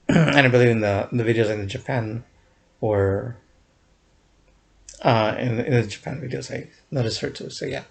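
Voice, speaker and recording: A man's voice: medium pitch, normal speed, gravelly, pauses often. A young man casually recounting a fan event in a vlog, rambling with many fillers and restarts, often chuckling. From United States.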